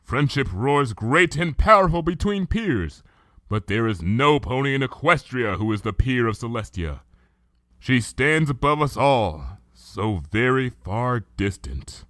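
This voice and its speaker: A man's voice: mid-range voice, sing-song, a little slow, a bit bright, dry recording, studio quality. A man voicing a regal, archaic-speaking female moon princess pony in a fan fiction reading, with formal thee-and-thou speech. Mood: solemn.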